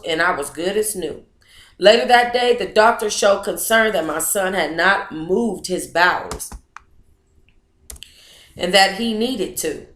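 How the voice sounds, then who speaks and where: A woman hosting a book club, reading a memoir aloud in a casual, expressive way and chatting with her listeners. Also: medium pitch, roomy sound. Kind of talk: casual reading of a memoir, then chat with viewers.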